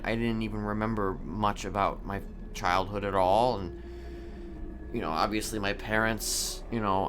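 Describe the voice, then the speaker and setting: A man playing a brooding prisoner in a roleplay game, confiding in a halting, deliberate way and repeating words as he goes. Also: fairly low pitch, noisy background, natural voice. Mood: reflective. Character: brooding, confiding male prisoner seeking to reform. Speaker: streamer role-playing a character. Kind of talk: improvised in-character storytelling in a crime roleplay game. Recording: loud music behind.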